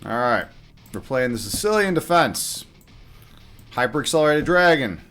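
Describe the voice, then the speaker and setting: A man's voice: medium pitch, very animated, moderate pace, a bit bright. Man, mid-range voice, casual live game commentary, thinking aloud, muttered unfinished thoughts, background music. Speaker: live chess streamer.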